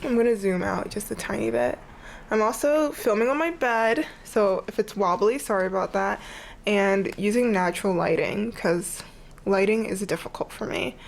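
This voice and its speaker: A woman's voice: medium pitch, moderate pace, vocal fry. A young woman with a stuffy, congested voice, chatting casually and rambling as she shows her sketchbook from her bed. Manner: chatty, rambling, says "like" often. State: congested, sniffly. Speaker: art vlogger. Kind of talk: casual sketchbook tour chat.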